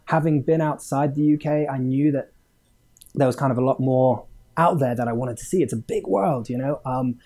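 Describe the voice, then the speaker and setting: A man's voice: mid-range voice, moderate pace, mellow. A man, born in Hong Kong, chatting as a podcast guest with many fillers and chuckles. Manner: rambling, many fillers, chuckles mid-sentence. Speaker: game studio owner, guest. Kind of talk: casual interview chat.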